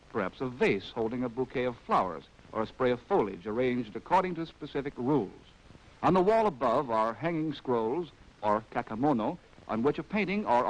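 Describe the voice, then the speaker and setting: A man's voice: medium pitch, rough recording. An American man narrating a scripted 1940s training film in a wry, arch, theatrical way, on a vintage film soundtrack.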